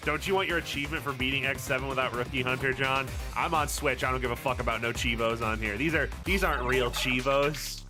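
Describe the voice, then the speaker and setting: A man's voice: mid-range voice, fast talker, few pauses, over music. A man hosting a game livestream, chatting casually in a rambling, joking, sweary way. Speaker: game streamer, host.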